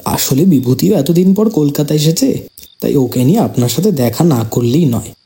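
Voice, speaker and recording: A man's voice: on the high side, animated, normal speed, dark tone. A man playing a polite, curious and respectful visiting friend in an audio horror story.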